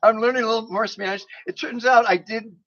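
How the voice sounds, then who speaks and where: A man with a fairly high voice rambles cheerfully as he hosts a casual outdoor chat. His talk wanders with fillers and little chuckles. The sound is dull and lo-fi, like a live stream. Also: steady tone, fast talker, a bit bright. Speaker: host interviewing a guest. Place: outdoors on a balcony overlooking volcanoes.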